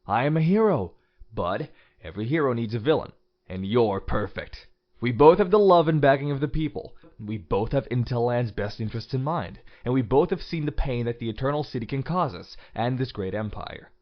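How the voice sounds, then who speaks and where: A man's voice: mid-range voice, animated, moderate pace, lightly acted. An actor playing a smug, scheming president in an audio drama, theatrical, condescending and mock-friendly as he poses as the heroic saviour. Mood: grandiose. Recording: dull sound under loud music.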